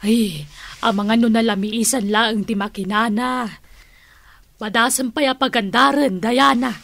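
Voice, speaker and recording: A woman playing a no-nonsense, creaky-voiced aunt in an Ilocano radio drama. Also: on the high side, fairly even intonation, a little slow, fairly bright tone, no echo, exaggerated voice. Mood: chiding.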